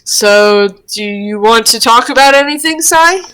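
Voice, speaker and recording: A woman's voice: fairly high pitch, animated, measured pace, a bit bright, clear, resonant tone. A woman voicing an earnest, friendly male cartoon devil, chatty and a little hesitant, in an amateur roleplay. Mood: hesitant, caring. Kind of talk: amateur roleplay read-aloud of a cartoon character.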